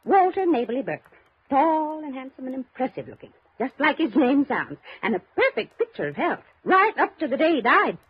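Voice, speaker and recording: Fluttery, high-voiced chatty older widow. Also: female voice, very animated, normal speed. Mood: wistful, rambling. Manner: fluttery, rambling, folksy politeness. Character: chatty, sugary older widow, genteel and rambling. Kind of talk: 1950s radio drama acting. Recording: dull vintage 1950s radio recording.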